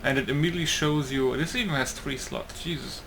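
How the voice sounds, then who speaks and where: A man giving a casual let's play commentary, thinking aloud in a halting way. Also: medium pitch. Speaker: gaming YouTuber. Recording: clear game noise behind. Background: video game ambience.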